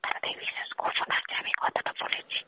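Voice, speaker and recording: A person speaking in a hushed, breathy whisper, pleading through an intimate relationship argument on a phone call. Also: fast talker. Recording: muffled tinny phone call recording.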